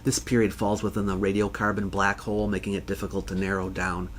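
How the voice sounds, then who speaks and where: Measured male educational narrator. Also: low voice, little pitch movement, slight background noise. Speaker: host answering viewer questions.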